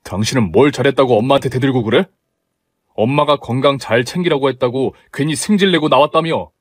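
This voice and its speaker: A young husband caught between wife and mother, as a man plays him. He grumbles, then coaxes in a lowered, everyday voice. The recording is dry and close. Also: fairly quick, no room sound, natural voice. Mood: annoyed.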